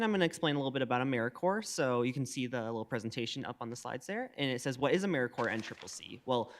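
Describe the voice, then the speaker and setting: A young man from Minnesota presenting slides at a public meeting, with a halting delivery full of filler sounds. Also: medium pitch, a bit bright. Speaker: volunteer team member.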